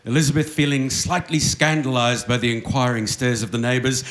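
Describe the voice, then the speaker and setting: An actor playing a wry, gently arch narrator, reading scripted narration in a live radio play. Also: male voice, medium pitch, steady tone, a little fast, natural voice.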